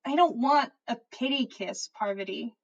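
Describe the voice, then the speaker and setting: A woman acts out a nervous teenage witch from a storybook. The girl has a high, vulnerable delivery, halting and self-blaming, as someone helplessly lovesick. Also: lo-fi sound. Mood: miserable, nervous. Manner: halting, miserable, breathy confessions.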